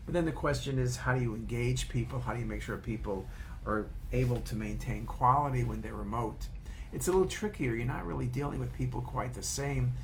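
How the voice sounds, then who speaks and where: A man delivers an informal, unscripted lecture as a medical educator. His voice sits at a medium pitch with a noticeable creaky, gravelly edge. He rambles a little and circles back to repeat key phrases, over faint room noise. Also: fairly quick. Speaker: radiologist and educator.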